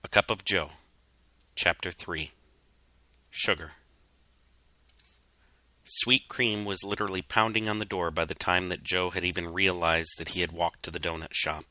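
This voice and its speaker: A man reading a story as a dramatic narrator, solemn and measured in his delivery. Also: sits low, steady tone, pushed and bright, dry recording. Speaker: fan fiction reader.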